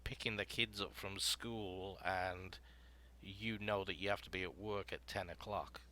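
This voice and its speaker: Deep-voiced, bright-toned male podcast host. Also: steady tone, unhurried. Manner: explanatory, storytelling, filler-heavy. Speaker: podcast host leading a writing panel. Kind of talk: casual panel discussion.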